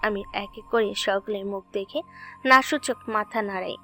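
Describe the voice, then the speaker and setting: A woman's voice: mid-range voice, moderate pace, pushed and bright, faint music behind. A woman reading scripted story narration as the narrator of an audio drama.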